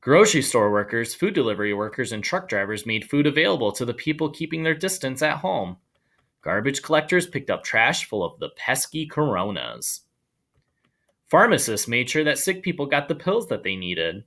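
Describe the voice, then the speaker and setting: A man reading a children's story aloud in an animated storytelling style. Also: mid-range voice, normal speed. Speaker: storybook reader.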